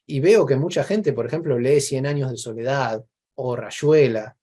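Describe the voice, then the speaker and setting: A man's voice: mid-range voice, normal speed, warm tone, husky. A man with an Argentine accent in casual, reflective conversation as an interview guest, explaining things discursively with examples.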